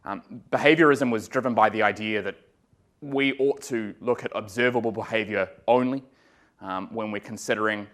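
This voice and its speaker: A man with a New Zealand accent giving an academic law lecture in a relaxed way, with many ums and uhs and some dry humor. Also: lowish voice, normal speed. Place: lecture room.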